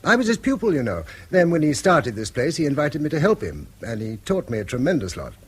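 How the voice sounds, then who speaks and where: An actor playing a modest, loyal British schoolmaster in a radio drama, polite, self-effacing and precise. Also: male voice, on the high side, sing-song, fairly quick, slightly rough.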